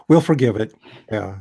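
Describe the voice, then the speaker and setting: An American man giving a relaxed, digressive science lecture on a video call, with many fillers and restarts. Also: medium pitch, vocal fry, husky. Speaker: host, biology lecturer.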